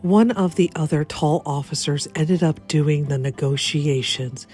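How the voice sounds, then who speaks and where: A woman giving a theatrical, expressive dramatic reading of a first-person story, acting out the quotes. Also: low-pitched, a little slow, soft background music. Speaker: reader of a written personal story.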